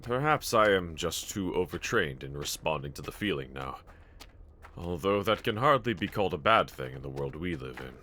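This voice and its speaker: A man playing a brave, tender young swordsman in an anime audio roleplay, speaking gently and earnestly to a companion in formal phrasing. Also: low-pitched, animated, normal speed, a little hiss or hum. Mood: gentle, reflective.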